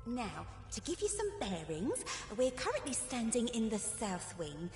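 A woman's voice: fairly high pitch, wildly swooping pitch, unhurried, darkish tone, heavily acted. A woman voicing a chirpy, doting, slightly creepy housekeeper creature in a video game, fussy and eager to please. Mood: eager, welcoming. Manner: fussy, eager, singsong hospitality. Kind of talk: video game character acting. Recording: echoey hall reverb, music behind.

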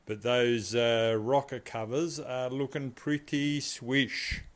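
A man's voice: mid-range voice, little pitch movement, slow. A man, casually walking through his home garage workshop, rambling and asking viewers for advice. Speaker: car restoration vlogger.

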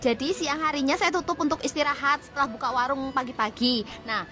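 A woman's voice: high voice, steady tone, a little fast, pushed and bright, faint music behind. A woman playing a chatty, gossip-loving woman who runs a small food stall in a radio drama. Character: chatty woman warung owner, fond of gossip.

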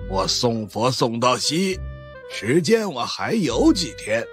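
A man's voice: mid-range voice, big pitch swings, takes it slowly, fairly bright tone, soft background music, pitched above the performer's own voice. A man voicing a sly, boastful old Taoist monk with a smug, teasing drawl, in an audiobook. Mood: reassuring, boastful. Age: older. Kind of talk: audiobook character acting.

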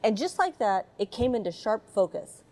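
A woman's voice: medium pitch, sing-song, normal speed, darkish tone, a little hiss or hum. A middle-aged woman from the United States, an astronaut, reading a picture book aloud to children aboard a space station, with chatty asides between pages. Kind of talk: storybook read-aloud for children. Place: space station module, indoors.

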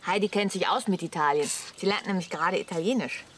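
An actress playing a chatty, bossy middle-aged camper wife in a radio comedy, brisk and teasing. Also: female voice, lowish voice, slight background noise, natural voice. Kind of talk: radio comedy drama acting.

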